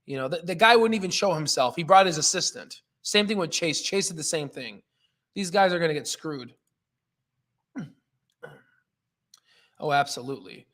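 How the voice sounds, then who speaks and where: A man hosting a live stock trading stream, talking casually and emphatically in an excitable, jokey way as he comments and answers chat. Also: fairly high pitch, moderate pace, pauses often.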